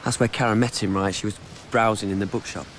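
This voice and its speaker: A man's voice: lowish voice, steady tone, quick pace, slight background noise, close to an everyday voice. An actor playing a jittery, questioning young-sounding film crew man in a naturalistic audio drama. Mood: wary. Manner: anxious, questioning. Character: jittery, anxious male film crew member.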